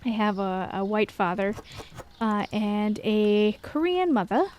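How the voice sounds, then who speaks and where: American woman, mid-pitched voice, casual gaming commentary, rambling, self-deprecating, chatty. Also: expressive, unhurried, a little mellow, a little hiss or hum. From Texas, United States. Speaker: gaming video host.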